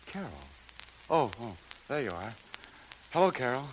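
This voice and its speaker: Actor doing a flustered earnest young romantic lead, old radio style, really expressive pitch. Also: male voice, moderate pace, slight background noise, close to an everyday voice, rough recording. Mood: smitten, awkward. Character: earnest young leading man, flustered and smitten. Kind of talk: 1930s radio comedy-drama acting. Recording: old 1930s radio broadcast.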